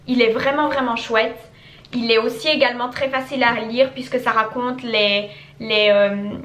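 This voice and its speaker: A young woman from Belgium chats casually to camera, answering viewers' questions. She keeps stacking repeated words for emphasis, and her voice sits a little high. The room around her gives a noticeable echo. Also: a bit bright. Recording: echoey room.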